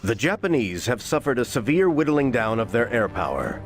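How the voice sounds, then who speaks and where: A man narrating a World War II game briefing in a formal, measured, emphatic manner. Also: medium pitch, sing-song, natural voice. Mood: grave, formal. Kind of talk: scripted wartime campaign narration. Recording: loud music over the voice.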